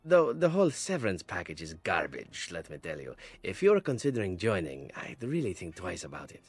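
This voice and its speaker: An actor playing a charming, flippant elven assassin in a video game, with a suave, wry, theatrical delivery. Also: male voice, low-pitched, huge pitch swings, a little fast, slightly rough, dry recording, exaggerated voice. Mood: wry, candid. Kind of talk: video game character dialogue.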